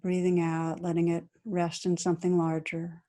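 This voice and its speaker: A woman meditation teacher guiding a practice in a gentle, soothing, unhurried manner with long pauses between phrases. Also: on the low side, monotone. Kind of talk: guided meditation.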